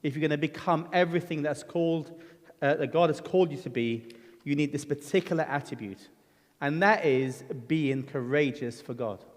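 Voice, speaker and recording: A man's voice: on the high side, normal speed. A middle-aged man from Lebanon preaching a sermon, telling his own story in an earnest, conversational way with frequent ums and restarts. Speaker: guest preacher. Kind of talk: sermon with personal testimony. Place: church hall. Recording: church hall reverb.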